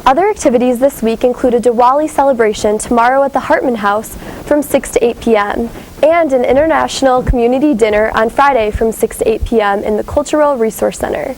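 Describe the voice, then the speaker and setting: A woman with a fairly high voice anchors a student newscast. She reads from a script, and there is faint noise behind her. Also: a little fast, darkish tone, poor audio. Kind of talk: scripted news reading.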